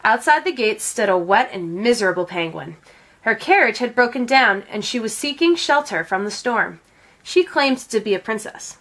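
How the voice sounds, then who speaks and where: A woman reading a children's picture book aloud as an expressive storyteller. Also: a little high, normal speed. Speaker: read-aloud storyteller, teacher.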